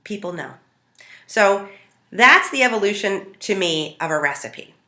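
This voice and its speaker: An American woman from Montana telling stories casually to camera as a home cook, in a conversational, animated way. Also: mid-range voice, measured pace. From Montana, United States. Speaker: home cook hosting a food video. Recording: roomy, echoing sound.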